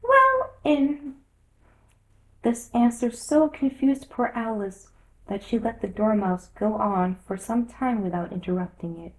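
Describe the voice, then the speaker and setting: A woman narrating a children's story from a script in an expressive storytelling style. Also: mid-range voice, moderate pace, poor audio.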